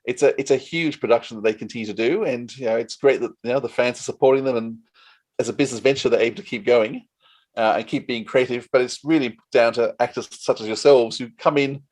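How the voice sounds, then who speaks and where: A man's voice: mid-range voice, fast, darkish tone. Effusive Australian man hosting a casual chat. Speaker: podcast host.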